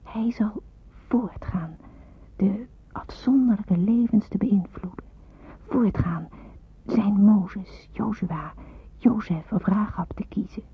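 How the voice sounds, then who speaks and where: Hushed, husky, pensive young woman. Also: mid-range voice. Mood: pensive, reverent. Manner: hushed, slow, breathy reflection. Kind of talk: radio drama monologue, diary-like narration. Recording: muffled roomy phone-like old radio recording.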